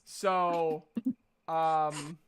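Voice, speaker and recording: A man's voice: on the high side, flat delivery, very slow, fairly bright tone. A man running a tabletop roleplaying game as game master, talking informally.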